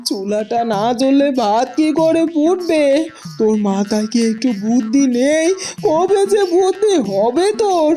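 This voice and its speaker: A woman's voice: high-pitched, lively intonation, a little slow, dark tone. A woman voicing an exasperated village mother in a Bengali cartoon, fussing and admonishing as she speaks. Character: exasperated, scolding village mother of a grown daughter. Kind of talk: Bengali cartoon fairy-tale voice acting.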